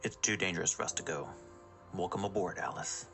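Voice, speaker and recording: A man playing a gruff, guarded spaceship captain in a sci-fi radio drama, blunt and suspicious. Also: low-pitched, fairly even intonation, brisk, music in the background. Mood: guarded, reflective.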